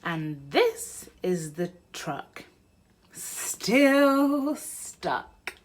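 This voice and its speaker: Slow, animated woman reading to children. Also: on the low side. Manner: sing-song, expressive, addressing young children. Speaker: mother and presenter of a children's read-aloud channel. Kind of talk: animated children's storybook read-aloud.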